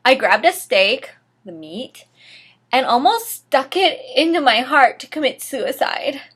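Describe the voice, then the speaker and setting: A woman's voice: high-pitched, very animated, a little slow, fairly bright tone, husky. A woman giving a mock-dramatic story reading, theatrical in delivery, with sarcastic asides. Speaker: reader of a comedic dramatic reading.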